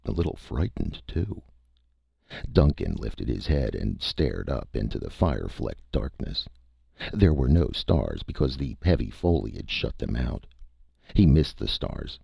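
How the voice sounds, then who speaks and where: A man's voice: a bass voice, big pitch swings, measured pace, vocal fry, dark tone, slightly rough, dry recording, clean studio sound. A man narrating a scripted story as a dramatic audiobook reader.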